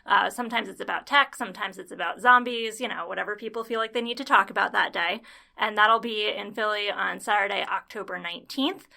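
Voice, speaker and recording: A woman guesting on a podcast, explaining her projects in casual chat with a measured, amused manner. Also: mid-range voice, gravelly, pushed and bright.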